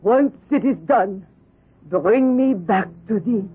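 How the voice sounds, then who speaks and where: A woman's voice: fairly high pitch, lively intonation, takes it slowly, breathy edge, heavily acted. An actress playing a grave, fanatical old voodoo woman with an incantatory delivery in an old-time radio drama. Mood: reverent, chanting. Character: old female voodoo devotee, solemn, fanatical, weary. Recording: muffled narrowband old radio recording, faint music.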